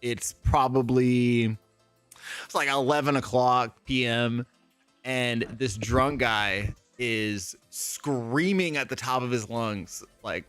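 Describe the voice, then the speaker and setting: A man chatting in an interview, telling stories with bursts of laughter and quick voices for the people he describes. His voice sits in a middle register. The sound is close and dry, with faint music underneath. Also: mid-range voice, clear, resonant tone, dry recording. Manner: animated storyteller, laughs often, acts out people he quotes. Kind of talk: casual interview chat.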